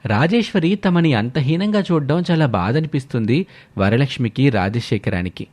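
A man's voice: mid-range voice, expressive, normal speed, a little mellow. Man narrating a story.

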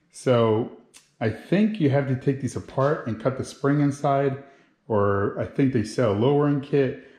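A man in his thirties talks casually to his viewers as he works, explaining each step informally. His voice has an ordinary mid-low male pitch and rings with the roomy echo of a home garage, picked up on a handheld camera. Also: a little mellow. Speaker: motorcycle builder and video host. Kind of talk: casual how-to vlog narration while working. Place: home garage with hard floor. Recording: roomy, echoing garage sound.

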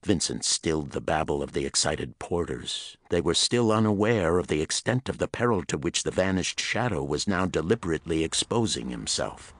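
A man's voice: very deep voice, expressive, moderate pace, hardly any pauses, no echo, clean studio sound. A man narrating a pulp thriller audiobook in a dramatic, suspenseful storytelling manner.

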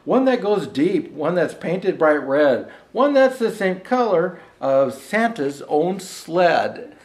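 Older man as jolly old Santa, high-pitched voice, gentle storybook reader, roomy echo. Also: sing-song, slow pace, exaggerated voice. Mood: storytelling. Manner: gentle storyteller, showing pictures to listeners. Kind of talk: rhyming storybook read aloud with chatty asides. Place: indoor room set with fireplace and tree. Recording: echoey room.

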